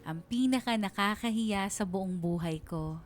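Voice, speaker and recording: A woman's voice: medium pitch, unhurried, faint music behind, close to an everyday voice. A woman playing a bashful young Filipina who narrates her memories in a confiding, self-mocking way for a radio drama. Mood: embarrassed.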